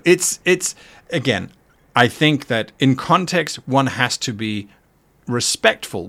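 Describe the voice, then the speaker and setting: A man's voice: mid-range voice, a bit bright. A man hosting a casual livestream, talking thoughtfully and earnestly about running tabletop games, with fillers and restarts as he reads chat. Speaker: podcast host and game master.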